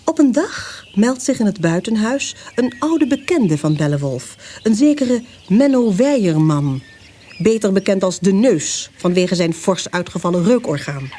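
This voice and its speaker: A woman narrating a radio play in a measured storytelling manner. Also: medium pitch, big pitch swings, mellow.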